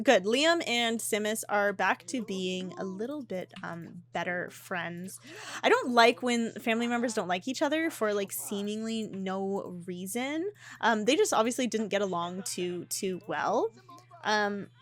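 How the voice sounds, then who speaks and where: A woman casually commenting on her gameplay, chatty and animated, with frequent ums and laughs, her voice slightly hoarse. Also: medium pitch. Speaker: gaming YouTuber.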